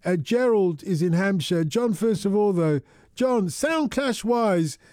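A male radio host talks with callers in a relaxed, chatty way, musing aloud as he goes. His voice sits a little high but sounds mellow and warm. The studio mic is close and dry. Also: unhurried, no echo. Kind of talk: casual radio chat with callers.

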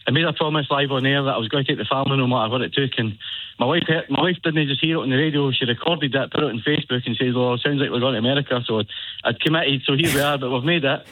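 Excited Scottish man calling by phone. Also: mid-range voice, very fast, no echo. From Scotland. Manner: excited, rambling with restarts. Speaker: caller. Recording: phone line.

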